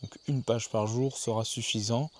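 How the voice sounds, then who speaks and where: A man's voice: mid-range voice, fairly even intonation, moderate pace. A man keeping a casual spoken diary outdoors in the countryside, thinking aloud and often restarting his sentences. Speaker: video journal keeper.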